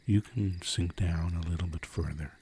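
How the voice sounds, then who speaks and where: A man narrating a guided sleep meditation in a slow, soothing, hushed manner with long pauses. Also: low voice. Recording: campfire and cricket ambience, faint noise. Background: crickets and crackling fire.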